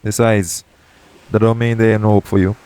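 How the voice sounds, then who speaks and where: A man playing a shady, jaded yet tender pirate captain in an intimate audio roleplay, talking colloquially and frankly, with gentle teasing. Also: fairly low pitch, flat delivery, brisk, close to an everyday voice. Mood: frank, resigned. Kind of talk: intimate audio roleplay acting addressed to the listener. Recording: clear background noise, ambient sound bed.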